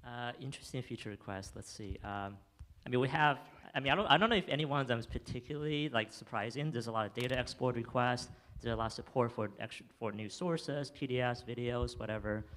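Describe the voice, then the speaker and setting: A man's voice: medium pitch, fast, a bit bright. A young man presenting his startup's product on a theater stage with a handheld mic, talking through a demo with many fillers and restarts, then answering audience questions. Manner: filler-heavy, frequent restarts, enthusiastic. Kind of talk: live demo talk and audience Q&A.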